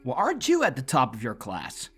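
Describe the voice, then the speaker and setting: A man's voice: mid-range voice, wildly swooping pitch, brisk, fairly bright tone, husky, exaggerated voice. Man voicing a sarcastic, swooping unicorn bandit. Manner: sarcastic, taunting. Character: cynical, smug, threatening unicorn pony bandit. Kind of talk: audiobook character voice. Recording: soundtrack music under the voice.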